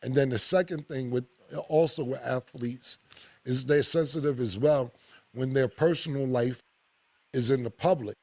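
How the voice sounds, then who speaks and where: A man, hosting a podcast with blunt, animated opinions and a lot of swearing. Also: normal speed, dry recording. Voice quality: deep voice. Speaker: podcast host. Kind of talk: casual opinionated podcast talk.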